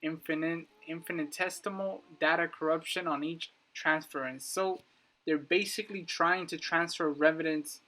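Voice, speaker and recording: A man's voice: on the high side, steady tone, normal speed, pushed and bright. A man giving casual, emphatic commentary on video game lore, addressing his viewers directly. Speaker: YouTube gaming content creator.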